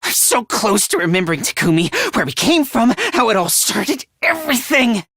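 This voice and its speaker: A man's voice: sing-song, moderate pace, bright, forceful tone, husky, dry recording, raised voice, clean studio sound, exaggerated voice. A man playing an anxious, self-deprecating, frail teenage boy in a video game, with a boyish, hesitant and emotionally volatile delivery. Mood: desperate, obsessive. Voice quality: high, boyish, androgynous. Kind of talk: video game voice acting, dramatic.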